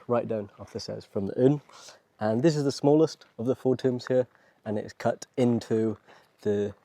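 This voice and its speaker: A British man from Wales talking in a relaxed, conversational, appreciative way, like a casual travel vlog. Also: on the low side, gravelly, dry recording. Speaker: travel vlogger. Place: outdoors and inside rock-cut tombs at a desert site.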